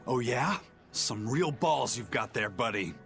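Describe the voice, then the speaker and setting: A man's voice: medium pitch, lively intonation, measured pace, gravelly, fairly bright tone, soft background music. An actor playing a cool, worldly male pirate in a fantasy game, laid-back and assured. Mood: dry, easygoing. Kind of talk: dramatic game dialogue.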